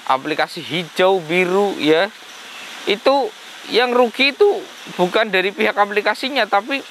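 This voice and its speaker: Man with a slight cold, host, religious exclamations, slightly high voice, outdoor noise behind. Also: bright, forceful tone, poor audio. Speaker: paranormal channel host. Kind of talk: casual talk to viewers.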